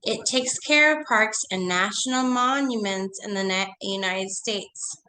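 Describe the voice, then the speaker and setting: A woman's voice: medium pitch, a little slow, pushed and bright, poor audio. Teacher, female, congested, losing her voice; chatty online read-along with a faint hiss behind. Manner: chatty, coaxing, asks the class questions. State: nasal from a cold, losing her voice.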